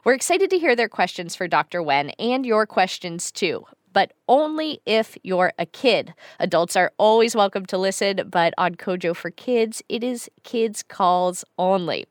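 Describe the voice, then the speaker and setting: A woman hosting a children's radio show delivers her lines in a clear, friendly, measured way. Her voice sits at a middling pitch, and the studio sound is very dry. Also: expressive, dry recording. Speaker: guest radio host. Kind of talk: scripted radio hosting for kids.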